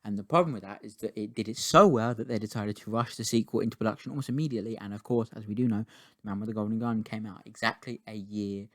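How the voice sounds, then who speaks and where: Guy rambling thru a movie review, low-ish flat voice, swears a bunch, dry sound. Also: fast talker, dry recording. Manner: rambling and chatty, many restarts and casual swearing. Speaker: film reviewer. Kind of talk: casual spoken film review.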